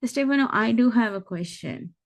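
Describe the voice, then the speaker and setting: A woman from Sri Lanka, moderating a club event and asking an earnest question, halting and searching for words. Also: a little high, expressive, gravelly, no room sound. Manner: halting, with fillers and restarts, earnest.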